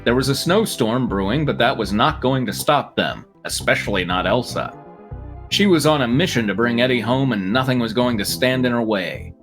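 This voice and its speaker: A man's voice: medium pitch, animated, moderate pace, a bit bright, soft background music. A man reading a story aloud dramatically, breaking off for dry, mocking asides. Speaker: reader on a fan fiction comedy show.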